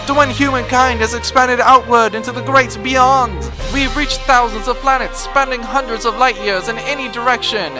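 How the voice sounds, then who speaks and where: An actor playing a grand, declamatory announcer narrating an old-time sci-fi radio serial parody. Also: male voice, extremely high pitch. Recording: music behind the voice.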